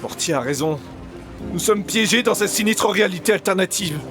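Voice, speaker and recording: A man playing an overconfident, gung-ho space adventurer in an audio comedy serial, bombastic and animated. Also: sits high, brisk, music in the background. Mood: glum.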